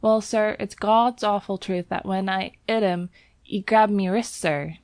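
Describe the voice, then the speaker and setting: A woman's voice: medium pitch, steady tone, a little slow, a little mellow, no room sound, clean studio sound, voice pushed up, natural voice. A woman voicing a young Cockney bellboy, a former soldier, who drops his h's and keeps saying sir. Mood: uneasy.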